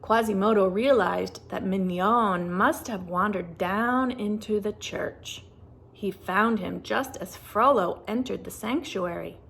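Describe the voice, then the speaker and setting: A woman reading a children's storybook aloud in a deliberate, animated storytelling manner. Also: medium pitch, vocal fry, a little hiss or hum. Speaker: storybook reader. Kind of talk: expressive children's read-aloud narration.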